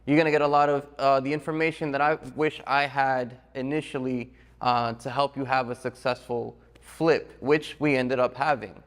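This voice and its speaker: A man's voice: mid-range voice, level tone, normal speed, few pauses. Man hosting in a reverberant space. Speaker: host, course presenter. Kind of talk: conversational introduction and interviewing. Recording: echoey hall-like reverb.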